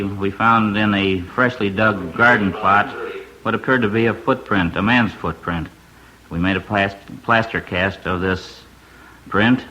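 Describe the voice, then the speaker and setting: A man's voice: fairly low pitch, bright, forceful tone, dull audio. An American man, a police detective, reading a formal statement in a stiff, official way. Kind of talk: formal prepared witness statement. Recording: old radio recording, faint noise.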